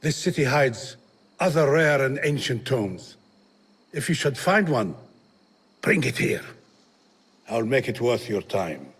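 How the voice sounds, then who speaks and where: Bookish game writer, self-important, husky, animated pitch, emphatic. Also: male voice, slow pace, long pauses, heavily acted. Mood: conspiratorial offer. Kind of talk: video game cutscene acting.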